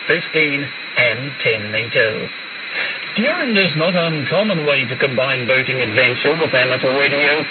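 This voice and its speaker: A man reading scripted amateur radio news as a correspondent, heard over shortwave radio. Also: a little high. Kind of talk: scripted news report read aloud. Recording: very noisy narrow-band shortwave radio reception. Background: loud shortwave static.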